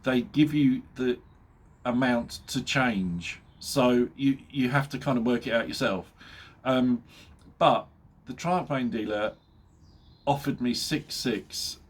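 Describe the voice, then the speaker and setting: A man's voice: medium pitch, takes it slowly. A middle-aged man with a blocked-up nose from a cold, chatting casually to his viewers, joking and chuckling at himself with plenty of ums along the way. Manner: chatty, jokey, laughs at his own jokes, many fillers. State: nasal from a cold. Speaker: motorbike vlogger. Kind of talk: casual chat to camera.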